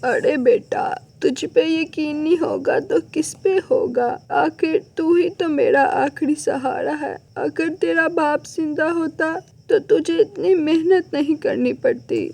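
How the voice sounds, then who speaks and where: A woman's voice: high voice, slow pace, gravelly, hardly any pauses, full character voice. A woman voicing a tired, loving, anxious elderly widowed mother in a cartoon moral story. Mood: tender, weary. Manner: gentle, anxious, doting.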